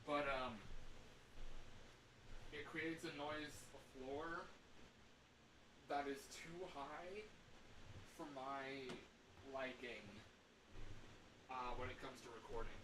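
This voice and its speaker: A man hosting a casual livestream, rambling in an animated way, exclaiming and often breaking off or repeating his words. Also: medium pitch, background noise. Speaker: livestream host, game developer. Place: room at home.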